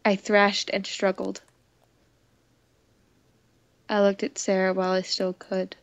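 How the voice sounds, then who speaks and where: Slow-paced woman reading a game aloud. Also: medium pitch, completely flat delivery, dry recording. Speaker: let's player reading a visual novel aloud. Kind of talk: dramatic game narration with casual commentary.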